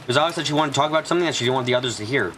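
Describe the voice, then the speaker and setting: A man reads a translated visual novel script aloud for a fan dub. His voice sits at a medium pitch and moves quickly through the lines. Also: bright, forceful tone, a little hiss or hum. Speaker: streamer and fan-dub reader.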